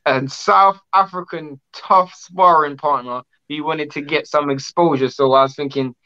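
A man's voice: a little high, fairly even intonation, measured pace, bright, forceful tone, clean tone, dull audio. A man co-hosting a boxing interview over a video call, chatty and prone to restarts. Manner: chatty, talks in restarts and long lists. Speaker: co-host.

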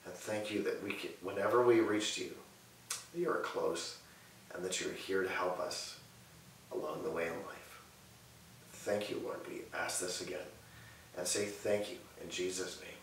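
A man in his thirties preaching and praying earnestly from a sofa in a living room, measured and repetitive in his phrasing. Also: low voice, fairly even intonation, a bit bright, recorded from a distance. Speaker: pastor. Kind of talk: sermon and prayer. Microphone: far camera mic. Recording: echoey room audio with faint hiss.

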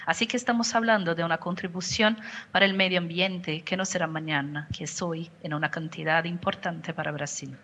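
A middle-aged Brazilian woman speaks Spanish with a Portuguese accent, her voice slightly low. She answers over a headset on a video call, pausing to pick words and restarting phrases. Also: steady tone, fairly bright tone. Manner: careful, searches for words, frequent restarts. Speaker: company communications director. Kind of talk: panel interview answer. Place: home office, indoors.

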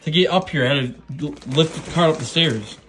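A young man filming a prank vlog, talking deadpan and cheeky while teasing his father. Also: a little high, fairly quick, slight background noise. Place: home kitchen, indoors. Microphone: phone he is holding.

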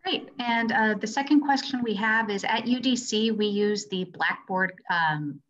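A woman's voice: medium pitch, measured pace, fairly bright tone. A woman hosting a webinar and moderating questions over a video call. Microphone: video call microphone. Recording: dull lo-fi video call audio.

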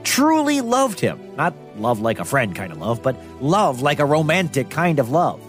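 A man's voice: fairly high pitch, sing-song, normal speed, faint music behind. A man narrating a children's myth as storyteller, in a playful, conversational way. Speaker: storyteller host.

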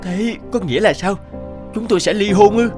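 A man voicing a frustrated, neglectful middle-aged husband in an animated moral tale, with an expressive, theatrical delivery. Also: high-pitched, normal speed, loud music behind the voice, close to an everyday voice. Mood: bewildered, distressed.

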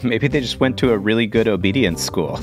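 A man's voice: lowish voice, brisk. A man co-hosting a scripted children's podcast, inquisitive and jokey in the way he talks. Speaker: podcast co-host. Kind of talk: scripted kids' podcast banter. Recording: guitar music behind the voice.

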